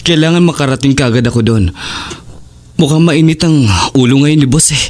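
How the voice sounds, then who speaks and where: A man with a Filipino accent playing a loving, apologetic boyfriend in an audio drama, speaking in a soft, reassuring way. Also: a little high, moderate pace, lightly acted. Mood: caring, hurried. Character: loving, apologetic boyfriend keeping secrets about his work. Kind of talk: Tagalog audio drama acting.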